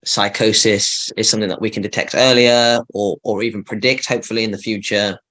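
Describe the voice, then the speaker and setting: A young man speaks as a podcast guest in a lowish voice. He is earnest and measured and talks plainly. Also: steady tone, bright, forceful tone, poor audio. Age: young adult. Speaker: guest, medical student. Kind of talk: casual interview chat.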